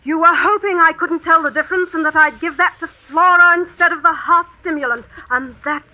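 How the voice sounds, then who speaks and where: An actress playing a cold, scheming schoolmistress in a vintage radio suspense drama, deliberate and sardonic in manner. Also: female voice, very high voice, bright, forceful tone, heavily acted. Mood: cold accusation. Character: cold, commanding schoolmistress, accusing and scheming, secretly a murderess. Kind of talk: 1940s radio suspense acting. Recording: noisy old radio drama recording.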